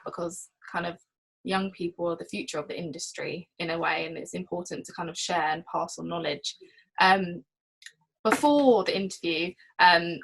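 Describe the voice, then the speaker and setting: A woman's voice: lowish voice, gravelly, fairly bright tone, husky, poor audio. A woman working as a reporter, hosting an interview over a conference call. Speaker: interviewer, trade magazine reporter. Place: remote video call.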